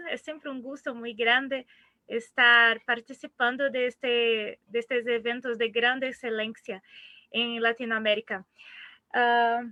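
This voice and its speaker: High, bright Brazilian woman lecturing. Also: no echo, dull audio. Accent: Brazilian Portuguese. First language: Portuguese. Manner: mixes Portuguese words into halting Spanish, frequent restarts. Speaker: seminar speaker. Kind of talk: online seminar lecture.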